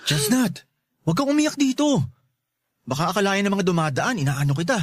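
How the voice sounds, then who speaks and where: A young, down-on-his-luck Filipino boyfriend in a radio drama. His high voice swings widely in pitch, exasperated and full of self-doubt, as he argues in a dry studio sound. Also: big pitch swings, brisk, no echo. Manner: incredulous, exasperated, firing rhetorical questions.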